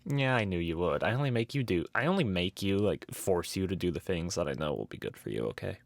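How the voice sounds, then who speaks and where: A soft-spoken man talking in a casual, rambling, teasing way, as in an ASMR boyfriend roleplay. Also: low-pitched, lively intonation, quick pace, gravelly, no echo. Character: tired, affectionate furry boyfriend driving home at night. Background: background music.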